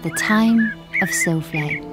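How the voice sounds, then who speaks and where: A woman narrating an epic radio drama as a solemn storyteller, in a measured, lyrical manner. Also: mid-range voice, wildly swooping pitch, music in the background. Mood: measured, atmospheric.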